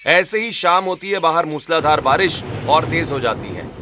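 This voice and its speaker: A man's voice: fairly high pitch, lively intonation, moderate pace, over music. A man reading scripted narration for a cartoon story.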